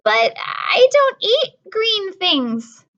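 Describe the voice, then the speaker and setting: A woman voicing a small, fussy, stubborn little girl in a storybook, with emphatic, drawn-out delivery. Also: very high voice, big pitch swings, fairly bright tone, dull audio, voice pushed up, full character voice. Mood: reluctant, wavering. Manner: emphatic, declarative, drawn-out refusals.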